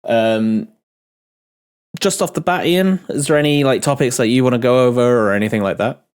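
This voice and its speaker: A man hosting a casual game developer Q&A, a bit ill and nasal, rambling with many pauses and restarts. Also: mid-range voice, clean tone. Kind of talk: casual dev talk Q&A.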